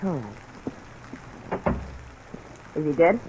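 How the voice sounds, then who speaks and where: A woman's voice: deep voice, drawn-out words. A woman playing a wary, sardonic, jealous woman in a 1950s radio crime drama, cool in manner. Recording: hissy old radio recording.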